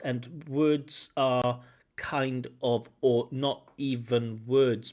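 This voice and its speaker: A man from Wales, an English examiner, giving feedback on a speaking test and explaining points with examples and gentle corrections. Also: mid-range voice. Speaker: IELTS examiner and teacher. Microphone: video call.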